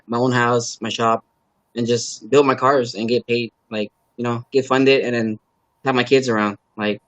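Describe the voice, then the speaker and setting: Male guest from LA, native Khmer speaker, mid-pitched, loose and rambling, dry close sound. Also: a bit bright, dry recording, rough recording. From Los Angeles, USA. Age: adult parent. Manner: halting, filler-heavy, laid-back. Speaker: guest, car builder and YouTuber. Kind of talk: casual interview chat.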